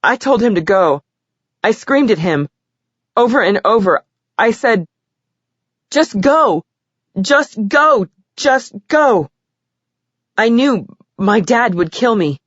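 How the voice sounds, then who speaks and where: Casting: a woman doing a crying teenage girl in a storybook, voice trembling, pitched up, dry and slightly dull recording. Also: slow pace, long pauses, no room sound. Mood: distraught. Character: tearful teenage girl giving courtroom statement.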